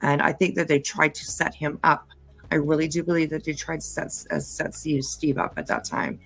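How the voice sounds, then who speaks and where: A woman hosting her channel, chatting casually and haltingly, with many ums and restarts. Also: deep voice, vocal fry, fairly bright tone, breathy edge, faint music behind. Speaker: channel host. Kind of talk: casual commentary chat.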